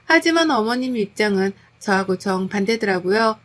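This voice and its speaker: Woman calmly reading a personal story. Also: medium pitch, normal speed. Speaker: narrator reading a submitted story. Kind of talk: scripted first-person story narration.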